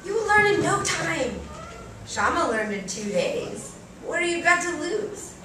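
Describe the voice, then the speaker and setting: A woman's voice: very high voice, sing-song, a little slow, voice pushed up, exaggerated voice. A woman voicing an insistent, encouraging aunt in a story, prodding and reassuring as she speaks. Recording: echoey room, distant mic, faint noise.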